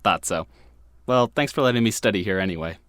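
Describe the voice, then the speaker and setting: A man's voice: lowish voice, a little fast, fairly bright tone, lightly acted. A man playing an easygoing, resigned young college student in a naturalistic audio drama, talking casually. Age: young adult.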